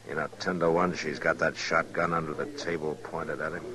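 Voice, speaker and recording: Frontier marshal, very low voice, laconic, calm authority, old radio western, crowd murmur behind. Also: male voice, brisk. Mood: grim, wary.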